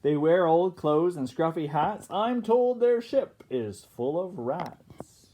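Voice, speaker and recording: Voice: mid-range voice, lively intonation, slow, warm tone, pitched above the performer's own voice. A storyteller voicing indignant, gossiping storybook neighbours with emphatic, scandalised complaining. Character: indignant, gossiping neighbours fussing about pirates.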